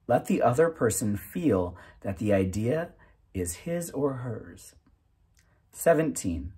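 Male reader, 30s, very husky voice, deliberate and emphatic delivery of self-help text with animated pitch. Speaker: narrator reading a book aloud. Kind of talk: reading aloud from a self-help booklet.

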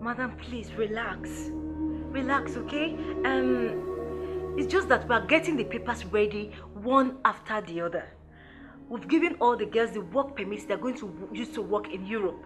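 A woman's voice: a little high, normal speed, lightly acted. An actress playing a firm, controlled Nigerian businesswoman in a film drama, used to soothing and directing people. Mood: placating. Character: businesswoman recruiter, placating madam, firm and controlled. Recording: music underneath.

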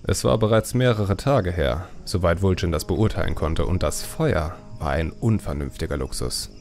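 A man's voice: low-pitched, expressive, normal speed, a little mellow, faint music behind. A man reading a fantasy audiobook as scripted dramatic narration.

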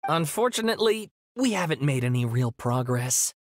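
A man's voice: on the high side, big pitch swings, normal speed, no echo, studio quality. A man playing an earnest, polite scholar in a video game, with an eager, bookish manner. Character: earnest, polite scholarly young male researcher. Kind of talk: video game character acting.

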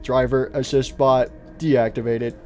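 A man's voice: mid-range voice, level tone, unhurried, a little mellow, faint music behind, pitched below the performer's own voice, close to an everyday voice. A man voicing a helpful, officious driving assistant robot with a stilted, announcing manner, in an improvised story.

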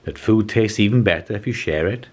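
A man's voice: on the low side, brisk, darkish tone. Man reading as an eager young storybook squirrel. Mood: kindly. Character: young searching squirrel, male, eager and puzzled.

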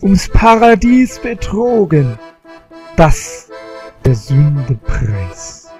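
A man playing a solemn bard who delivers an old legend in measured, lyrical verse for a fantasy audio drama. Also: fairly high pitch, sing-song, darkish tone, faint music behind, exaggerated voice.

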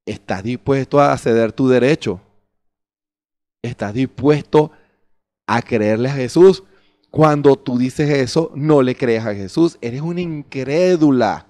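A man's voice: mid-range voice, a little mellow. A middle-aged man preaching a sermon from a church stage into a handheld microphone, firing rhetorical questions at the congregation and acting out their excuses. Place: church stage before a curtain. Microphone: handheld mic.

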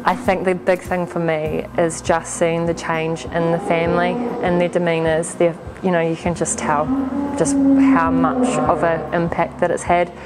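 A woman's voice: fairly low pitch, fairly even intonation, moderate pace, few pauses, rough recording. A British woman in her thirties giving an interview testimonial about her work. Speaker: agricultural bank relationship manager. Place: indoor milking parlour. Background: background music.

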